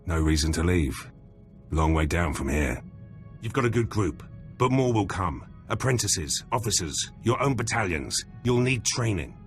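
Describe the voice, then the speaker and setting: Low, clipped Imperial soldier. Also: male voice, sing-song, a little slow, soft background music, exaggerated voice. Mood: earnest, loyal. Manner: clipped, terse military phrasing. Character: gruff, loyal male Imperial soldier, confident veteran. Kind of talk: video game voice acting.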